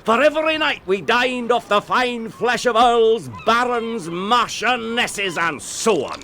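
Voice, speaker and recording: A man's voice: very high-pitched, expressive, takes it slowly, pushed and bright, slight background noise, heavily acted. An actor playing a boastful, gleeful Scottish cannibal outlaw in a radio play, telling his tale theatrically and with relish.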